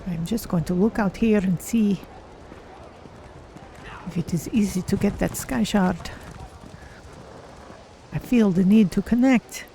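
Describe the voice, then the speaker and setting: A woman plays a polite, curious cat-person exploring a fantasy game. Her voice is dark and mellow, her commentary measured and formal, with faint noise behind. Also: mid-range voice. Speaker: game streamer. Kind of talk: in-character gameplay commentary.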